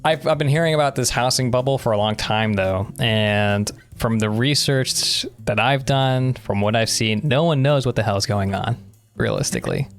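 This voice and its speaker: A man's voice: medium pitch, animated, moderate pace, soft background music. A young man co-hosting a podcast, chatting casually and giving opinions in an explanatory way. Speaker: podcast co-host. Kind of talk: casual conversational discussion.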